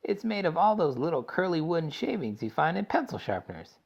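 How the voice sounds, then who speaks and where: Guy doing a hyper candy-maker voice, shrieky and gravelly, for a storybook reading. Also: sing-song, fairly quick, full character voice. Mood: flustered. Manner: high, exclamatory, theatrical. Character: eccentric excitable male chocolate maker. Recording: dull lo-fi recording.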